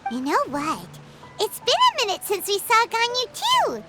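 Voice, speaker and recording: A woman voicing a tiny, chirpy, carefree fairy-like companion in a video game, with a squeaky, childish manner. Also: huge pitch swings, measured pace, full character voice. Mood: breezy, cheerful. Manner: squeaky, childish, refers to self in third person. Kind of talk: video game character acting. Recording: loud music behind the voice.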